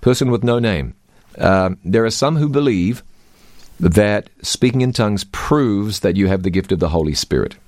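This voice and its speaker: A man's voice: on the low side, lively intonation, moderate pace, a little mellow, no room sound, clean studio sound. A man teaching the Bible, reading scripture aloud and quizzing his co-host.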